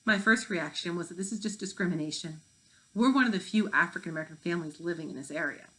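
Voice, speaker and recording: A woman's voice: lowish voice, quick pace, sharp tone, poor audio. A woman reading the part of a firm, matter-of-fact mother of a schoolgirl.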